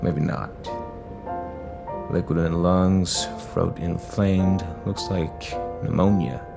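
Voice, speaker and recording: A man playing a calm, caring, gently teasing superhero in an audio drama, relaxed and wry. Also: low voice, slow, gravelly, over music, full character voice. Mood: teasing, concerned.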